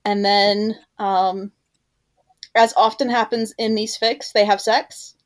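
A woman's voice: medium pitch, little pitch movement, slow pace. A woman co-hosting a podcast, talking in a casual, conversational style. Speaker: podcast co-host.

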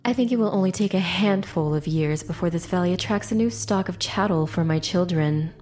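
A woman's voice: lowish voice, brisk, darkish tone, slight background noise, natural voice. A woman voicing a haughty, threatening spirit queen in an audiobook drama, imperious and condescending. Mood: cold bargaining.

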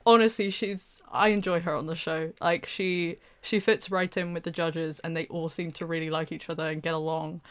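A woman's voice: lowish voice, steady tone, moderate pace, hardly any pauses, smooth. A young British woman chatting casually with a co-host, hesitant, with many fillers and restarts. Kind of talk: casual chat.

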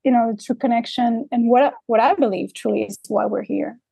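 Woman, life coach guest, reflective, searching, higher voice, dry sound. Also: moderate pace, gravelly, mellow, slightly rough, dry recording. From Dominican Republic, raised in Canada. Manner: reflective, searching, with many fillers. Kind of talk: interview conversation. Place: remote, traveling in Mexico.

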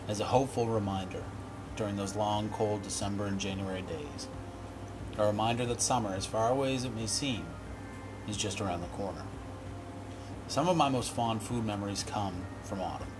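A man hosting a short cooking podcast, slowly reading a wistful written piece in a hoarse voice, then speaking more casually. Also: lowish voice, over music. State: hoarse from illness. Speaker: podcast host, chef.

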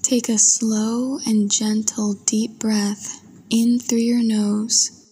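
A woman narrating a guided meditation in a soft, breathy voice, with a soothing, gentle and unhurried manner. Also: on the high side, little pitch movement. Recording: long washy reverb.

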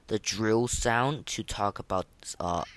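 This is a podcast host talking through game opinions off the cuff. The delivery is halting and rambling, full of slangy verdicts. The voice sits at a middling pitch, recorded dry with very little room. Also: no room sound. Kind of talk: casual unscripted game review commentary.

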